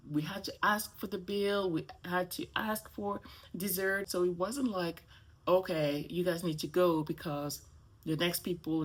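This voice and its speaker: A woman's voice: lowish voice, husky. A middle-aged woman, a native French speaker talking in English, chatting casually to camera as a vlogger and often checking in with "okay?" and "right?".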